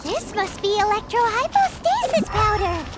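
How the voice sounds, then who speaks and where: Tiny squeaky cheeky fairy girl, game sidekick, super high and bouncy, music behind. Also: normal speed. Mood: chatty. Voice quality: squeaky, childlike. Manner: speaks of self in third person, excitable. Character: small childlike fairy companion girl, perky, cheeky, food-loving. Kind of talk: animated video game voice acting. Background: background music.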